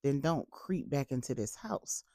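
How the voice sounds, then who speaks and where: A woman's voice: a bass voice, animated, measured pace, mellow, dry recording, studio quality, exaggerated voice. A woman voicing a stern father who scolds his grown daughter with cutting sarcasm in an audio story. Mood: sarcastic anger.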